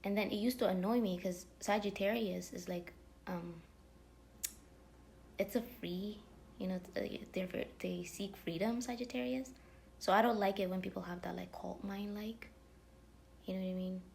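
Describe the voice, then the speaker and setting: A woman's voice: mid-range voice, steady tone, moderate pace, gravelly, long pauses, darkish tone, slight background noise, low-quality recording. A woman vlogger chatting to camera in a rambling, casual way, full of fillers and dropping now and then into whispery asides. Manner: drifting, many fillers, drops into whispery asides.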